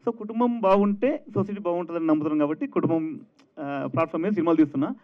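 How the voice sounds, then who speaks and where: A man's voice: on the high side, very fast. A man, earnestly explaining his views in answer to a press conference question. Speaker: film director. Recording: thin, phone-like event audio.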